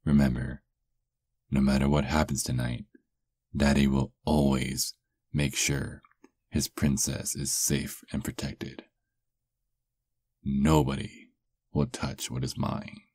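A man playing a doting, possessive vampire lover in an intimate ASMR roleplay, murmuring softly and coaxingly. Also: very deep voice, little pitch movement, slow, long pauses, slightly rough, dry recording, heavily acted. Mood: hungry, protective.

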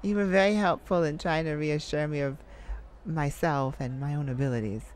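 A woman's voice: low voice, normal speed, a little mellow, a little hiss or hum, voice pushed down, lightly acted. A woman voicing a bashful, flirty male lounge singer in a coy, intimate ASMR roleplay. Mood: bashful, grateful. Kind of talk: ASMR roleplay, intimate addressed-to-listener acting.

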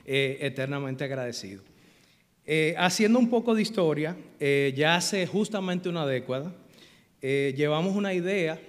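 A middle-aged man gives an earnest, grateful speech at a lectern, hesitating often with fillers. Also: fairly high pitch. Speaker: event organizer giving a speech. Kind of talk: formal thank-you speech. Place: large auditorium stage at a lectern. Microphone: lectern microphone. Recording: long reverb of a large hall.

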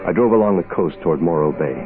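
An American man playing a cool, wry hard-boiled private investigator in a 1960s radio drama, laconic and deadpan. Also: sits low, quick pace. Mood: cool, brooding. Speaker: lead actor and narrator. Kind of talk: 1960s radio detective drama acting, first-person narration. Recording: muffled phone-like old radio, music behind.

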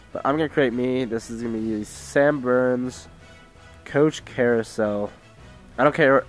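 A teenage boy from Idaho, sick with a sore throat, giving rambling casual commentary at home while he reads out game settings. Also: lowish voice. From Idaho, USA. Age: teens. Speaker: gaming YouTuber. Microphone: headset mic with tangled cords.

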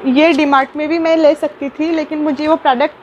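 Young woman vlogger, high-pitched, chatty with fillers and restarts, close phone mic in a small room. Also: flat delivery, a little fast, darkish tone, muffled recording, a little hiss or hum. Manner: chatty, many fillers and restarts, mixes English words into Hindi. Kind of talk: casual unboxing vlog.

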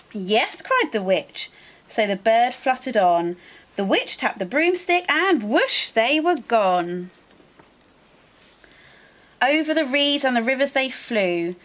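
A woman reading a rhyming children's picture book aloud in an expressive, deliberate, acted-out way. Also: on the high side. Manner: animated, deliberate, acts out the story. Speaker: storybook reader.

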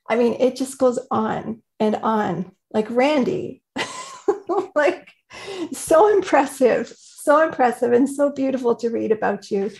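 A woman with a fairly high voice hosts an interview over a webcam. She is enthusiastic and effusive and laughs easily between questions. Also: creaky, darkish tone. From Indiana. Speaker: host. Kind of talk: interview host. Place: home room. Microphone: video call webcam.

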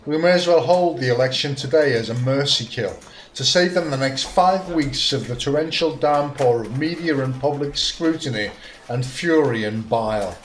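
A man's voice: mid-range voice, moderate pace, natural voice. An actor playing a scornful, commanding male senior official giving sardonic orders in a radio comedy. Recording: roomy echo.

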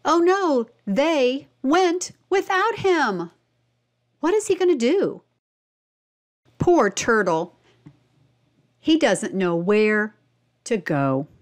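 A woman's voice: high voice, big pitch swings, extremely slow, pauses often. A woman reading a children's storybook and presenting it instructively, expressively asking young listeners questions. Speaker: read-aloud narrator and presenter.

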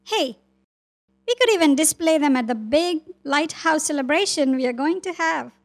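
A woman plays an inquisitive little know-it-all animal in a puppet show for children. The voice sits high, full of questions and facts. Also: expressive, moderate pace. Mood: eager. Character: inquisitive, knowledgeable animal puppet named Oliver.

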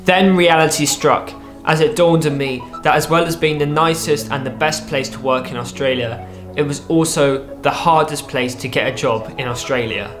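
A young man narrating a personal story in a vlog, lively and wry, with playful asides. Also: a little high, moderate pace, reverberant, music in the background. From England. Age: young adult. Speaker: vlogger. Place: small room with a desk lamp, indoors.

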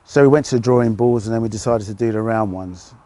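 A middle-aged British man, a fish farmer, explaining his work outdoors in a relaxed, hesitant way. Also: fairly low pitch, fast talker. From United Kingdom. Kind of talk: casual interview answers.

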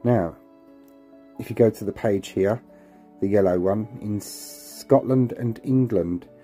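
A man's voice: on the low side, takes it slowly, dark tone, soft background music. A man hosting a history vlog, narrating to his viewers in a conversational way.

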